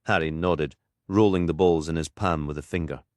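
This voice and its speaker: A man's voice: very deep voice, steady tone, moderate pace, a little mellow, no echo, studio quality. A man reading scripted narration for a crime mystery audiobook.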